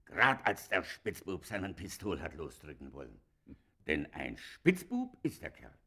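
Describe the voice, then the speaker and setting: Excitable, low-voiced old gatekeeper. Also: male voice, a little slow, vocal fry, slightly rough, exaggerated voice. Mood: suspicious, deferential. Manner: folksy, deferential, excitable storytelling. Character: old gruff town gatekeeper, suspicious, servile and eager. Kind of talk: German radio play acting.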